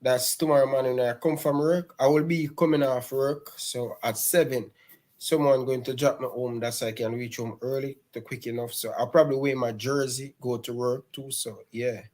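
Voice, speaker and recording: A man co-hosts a casual football talk. He trips over his openings, repeating words before the thought lands. His voice sits at an ordinary middle pitch for a man. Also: fairly even intonation, moderate pace, darkish tone. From Jamaica. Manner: repeats words often, stumbling starts. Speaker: co-host.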